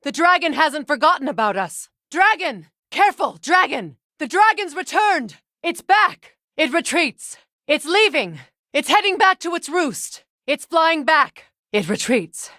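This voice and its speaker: Excitable game companion, extremely high pitch, swooping intonation, emphatic short callouts, unhurried delivery. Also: female voice, no room sound, clean studio sound, heavily acted. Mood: urgent, alert. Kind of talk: video game voice acting.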